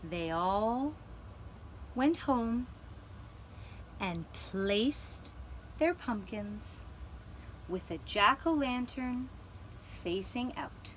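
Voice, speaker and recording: A woman telling a gentle children's story for a puppet show, deliberately, with dramatic pauses. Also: on the high side, animated, slight background noise, poor audio.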